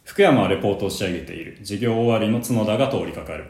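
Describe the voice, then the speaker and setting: A man's voice: on the low side, fast talker, a little mellow. A young man co-hosting a podcast in casual chat, sometimes slipping into improvised skits, recorded in an echoey room. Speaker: podcast host and member of a comedy talk group. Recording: roomy, echoey indoor room.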